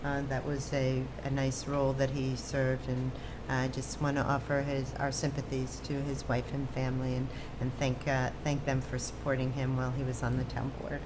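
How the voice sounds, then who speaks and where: A woman with an American accent and a very low voice chairs a public meeting. She gives informal updates in measured, hesitant phrases with little melodic movement. Clear background noise from the room runs under her speech. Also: completely flat delivery. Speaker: town supervisor chairing a meeting. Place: indoor town board meeting.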